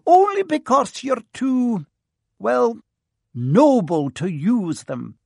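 A man's voice: extremely high pitch, big pitch swings, slow pace, creaky, a little mellow, dry recording, studio quality, full character voice. A man voicing a stern, prim older woman professor of witchcraft who talks in a clipped, impatient way. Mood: pressing. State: trembling with shock at the end. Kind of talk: audiobook character voice.

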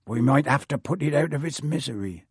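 A man voicing a big gruff gamekeeper who talks bluntly with country words. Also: medium pitch, animated, brisk, darkish tone, no room sound, studio quality. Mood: instructing.

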